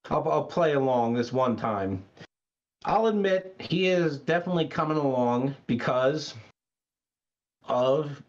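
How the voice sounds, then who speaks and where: A man, a guest wrestler, speaking slowly and with self-assurance on a podcast video call. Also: medium pitch, muffled recording.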